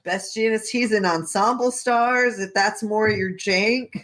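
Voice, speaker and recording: A woman's voice: mid-range voice, unhurried, a bit bright, slight background noise. Joking, slangy woman in casual chat. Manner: joking, slangy, playfully exaggerated. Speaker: podcast co-host. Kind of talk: casual chat about anime and voice actors.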